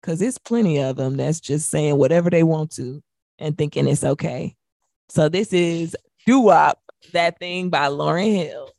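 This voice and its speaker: American female radio co-host, thirties, lower register, casual music chat, very dry studio sound. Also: a little slow, gravelly, a little mellow, dry recording.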